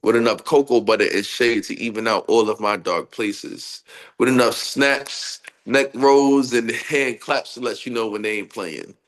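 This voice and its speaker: A man's voice: medium pitch, a little slow. A man with a stuffy, nasal voice from a cold, talking casually in an interview and then performing spoken-word poetry with rhythmic delivery. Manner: expressive, laughs often, rhythmic poetic delivery. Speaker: poet guest.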